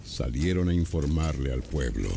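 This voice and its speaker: A man's voice: a bass voice, expressive, normal speed, gravelly, background noise. A man narrating a dramatized Bible story from a script, as a storyteller between acted parts.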